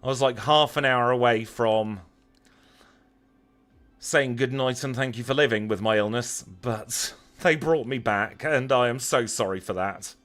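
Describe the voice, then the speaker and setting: A man's voice: medium pitch, measured pace, fairly bright tone, soft background music. A man with a Lancashire accent chatting casually as he streams a video game, wry and self-deprecating. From Lancashire, northern England. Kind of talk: casual livestream chat over gameplay.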